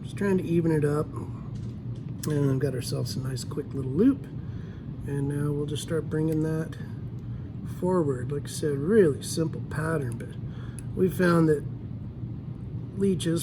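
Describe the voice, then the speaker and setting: A man's voice: a little high, measured pace, pauses often. Easygoing man tying flies, noisy room. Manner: easygoing, talks while working, frequent ums. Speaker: fly tying instructor. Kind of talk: relaxed step-by-step tutorial.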